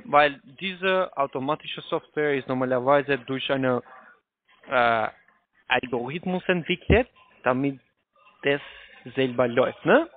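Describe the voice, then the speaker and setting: A man with a foreign accent in non-native German making a polite, halting telephone sales pitch over a phone line. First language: not German. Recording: tinny, narrow phone line.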